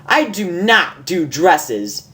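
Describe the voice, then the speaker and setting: A woman's voice: fairly low pitch, very animated, fairly bright tone, voice pushed up, full character voice. Woman doing a brash, awkward tomboy pony. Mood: protesting. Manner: halting, blunt, slangy.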